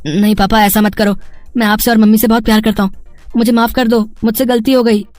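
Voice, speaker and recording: A woman's voice: fairly high pitch, flat delivery, fairly quick, darkish tone, dry recording, faint music behind, lightly acted. A woman voicing an earnest, pleading schoolboy in a cartoon moral story. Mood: sorry, pleading. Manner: pleading, emphatic.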